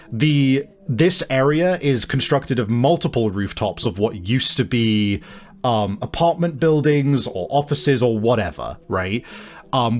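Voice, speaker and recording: A man improvising narration as the game master of a tabletop game, explaining things in halting, hedging phrases. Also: mid-range voice. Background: music.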